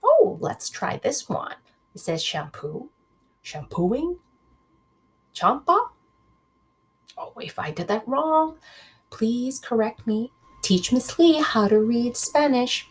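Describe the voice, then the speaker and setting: A woman reads a children's book aloud as a teacher would to her class. Her pitch rises and falls in an animated way at an unhurried pace, with a husky edge. She often steps out of the text to put questions to the pupils. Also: rough recording. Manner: expressive, addresses children directly with questions.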